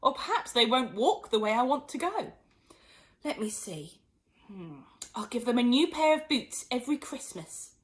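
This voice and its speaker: A woman's voice: fairly high pitch, big pitch swings, moderate pace. A woman voicing an earnest, muddled young girl who frets and scolds herself in a storybook reading. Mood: musing.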